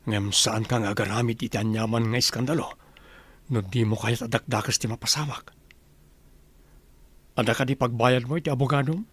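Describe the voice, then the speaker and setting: A man's voice: mid-range voice, fairly even intonation, brisk, long pauses, fairly bright tone, slightly rough. A man playing a stern, bossy mansion master in an Ilocano comedy radio drama, commanding and scolding with an Ilocano accent. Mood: stern, probing.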